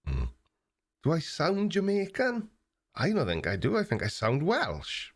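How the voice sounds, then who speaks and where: A man in his forties with an Australian accent, hosting a live stream and chatting casually while answering viewer comments, relaxed and plain-spoken with frequent ums. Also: a little high, a little slow. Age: 40s.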